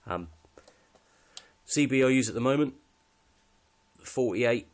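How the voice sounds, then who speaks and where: A man's voice: medium pitch, lively intonation, takes it slowly. A British man giving a casual, unscripted walkthrough of his kit in a matter-of-fact, slightly hesitant way. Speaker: hobbyist presenter showing radio kit.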